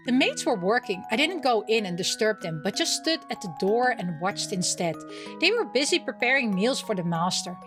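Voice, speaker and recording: A woman with a fairly high voice hosts a visual novel playthrough. She reads the story text aloud and adds casual remarks. Soft music plays behind her. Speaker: gaming channel host playing a visual novel. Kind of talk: reading game text aloud with casual commentary.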